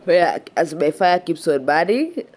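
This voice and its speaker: A young woman with a Kenyan accent talks slowly and easily, often dropping in a relaxed "yeah" as she goes. Her voice sits a bit low and sounds slightly stuffy from a cold, with a faint hiss of background noise behind it. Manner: relaxed and chatty, with frequent "yeah" and restarts. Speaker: cooking vlogger. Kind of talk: casual voice-over narration of a cooking video.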